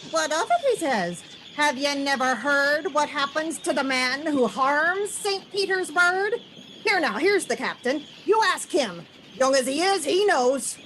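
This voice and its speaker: A woman playing an excitable, superstitious sailor in a radio play. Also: sits high, a little slow, slight background noise, low-quality recording. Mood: alarmed, foreboding. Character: superstitious Irish sailor man, excitable and garrulous. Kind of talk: old-time radio play acting.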